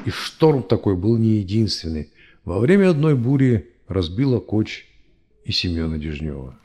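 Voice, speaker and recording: A man's voice: on the low side, sing-song, normal speed, mellow, slight background noise. An actor playing a thoughtful old grandfather who tells stories conversationally in an audio play. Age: older.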